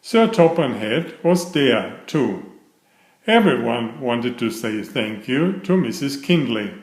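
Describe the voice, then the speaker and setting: A man's voice: medium pitch, sing-song, slow pace, reverberant, low-quality recording. A man narrating a children's story, reading aloud from a script.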